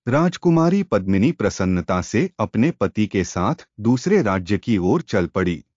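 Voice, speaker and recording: Deep-voiced man, expressive formal storyteller. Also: measured pace, no echo, dull audio. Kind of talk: scripted narration of a Hindi moral tale.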